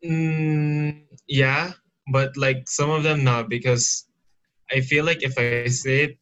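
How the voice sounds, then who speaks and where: Young male guest, bright, tense tone, halting casual talk peppered with "you know". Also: medium pitch, level tone, dull audio. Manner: hesitant, lots of "you know". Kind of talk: casual conversation.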